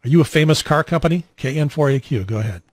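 An older man hosting an amateur radio net, explaining technical matters conversationally in a halting, methodical way. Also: fairly high pitch, lively intonation, no echo. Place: small home radio room, indoors. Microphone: handheld radio mic held at mouth, boom mic nearby.

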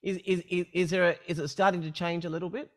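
A man hosting a podcast interview, asking casual questions in a playful, halting way. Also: high-pitched, flat delivery. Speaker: podcast host.

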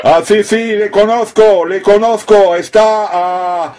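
Guy with a pretty high voice doing cb radio contact talk, spelling stuff phonetically. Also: fairly even intonation, takes it slowly, loud music behind the voice. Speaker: amateur CB radio operator.

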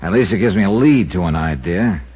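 An actor playing a brisk, wry insurance investigator who also narrates, in a 1950s radio detective drama. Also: male voice, deep voice, animated, breathy edge. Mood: curious, probing. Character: brisk, confident male insurance investigator hero. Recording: dull 1950s radio recording with music.

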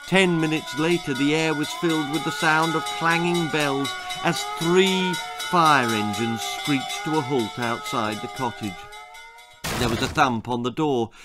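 A man in his sixties with a British accent, reading a spooky comic children's story in a measured, dramatic way. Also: medium pitch. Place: small book-lined room indoors. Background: music.

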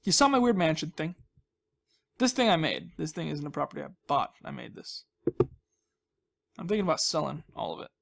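A man's voice: a little high, big pitch swings, brisk, creaky, long pauses. A young man giving casual, rambling commentary as he hosts a gaming video, with a goofy, self-deprecating, mock-dramatic manner.

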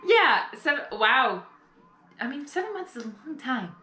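Bright, animated young woman reacting, roomy sound. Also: sits high, normal speed. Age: young adult. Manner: expressive, chatty. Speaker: reaction video host. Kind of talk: casual live reaction commentary. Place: small bedroom, indoors. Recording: echoey room, faint show audio behind.

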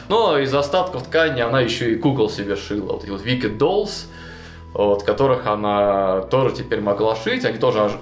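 Young man retelling a story in a roomy room. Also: mid-range voice. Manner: animated, lots of fillers and restarts. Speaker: storyteller recounting a tabletop game campaign. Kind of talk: casual retelling to a co-host. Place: small indoor studio with chalkboard wall. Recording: roomy echo, faint music behind.

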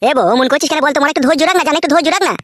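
A man's voice: extremely high pitch, racing, noisy background. A man voicing a brazen, irritable village husband in a comedy cartoon, bickering crudely in a rural West Bengal accent. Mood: exasperated. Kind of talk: comedy cartoon dub, quarrelling.

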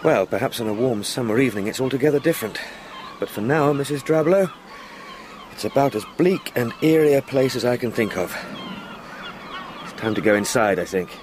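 An actor playing a polite, unnerved younger English solicitor in a radio play, speaking in a formal, dramatic way. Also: male voice, medium pitch, lively intonation, a little fast, background noise, close to an everyday voice. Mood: uneasy, musing. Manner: well-mannered, formal, dramatic.

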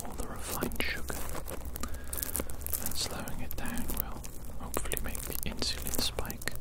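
A man whispers softly and breathily, close to the mic. He rambles in a deadpan, superior way, playing an evil doctor in an ASMR roleplay. Crinkling, crunchy sounds sit around his voice. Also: moderate pace.

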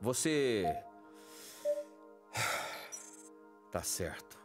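Space major: laid-back, cocky, dry, animated intonation, audio drama with heavy music bed. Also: male voice, mid-range voice, drawn-out words. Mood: cocky, reluctant. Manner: casual, dismissive, dry. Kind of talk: sci-fi audio drama dialogue.

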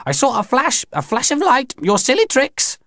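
A man's voice: very high voice, wildly swooping pitch, moderate pace, a bit bright, dry recording, studio quality, pitched above the performer's own voice, exaggerated voice. A man voicing an alien machine that poses as a stern old lady, with stilted, imperious, oddly phrased speech, in an audiobook. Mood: imperious, scolding. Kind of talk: audiobook character voice.

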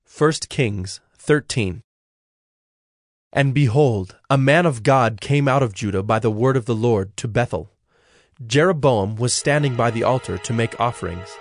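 A man narrating a dramatized Bible in a measured, solemn storytelling style. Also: mid-range voice, no room sound, clean studio sound.

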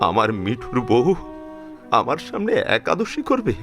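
A man's voice: mid-range voice, wildly swooping pitch, measured pace, music in the background. Man acting an emphatic, swooping older Bengali father-in-law. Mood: indignant. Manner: emphatic, emotional, repeats phrases. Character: protective, grieving older Bengali father-in-law, indignant at tradition. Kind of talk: Bengali audio drama acting.

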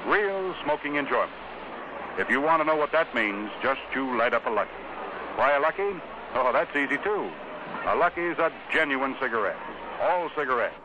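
An American man delivering a 1950s radio sponsor pitch in folksy, punchy salesman phrases. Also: animated, moderate pace. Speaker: radio announcer reading sponsor spots. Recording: noisy, dull 1950s radio broadcast.

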